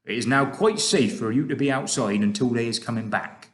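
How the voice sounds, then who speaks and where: A man doing a gentle big giant with broken grammar. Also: medium pitch, fairly quick, close to an everyday voice. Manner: odd invented words, broken grammar. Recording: roomy echo.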